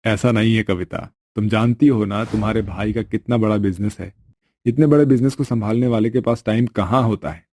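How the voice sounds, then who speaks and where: Man playing gentle, mellow-voiced young husband. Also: lowish voice, a little fast, close to an everyday voice. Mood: caring. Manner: caring, soothing.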